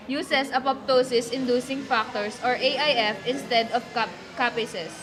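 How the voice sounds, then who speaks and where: A woman's voice: high voice, a bit bright. A woman playing a nurse who teaches science in a scripted puppet show. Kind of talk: scripted educational puppet dialogue.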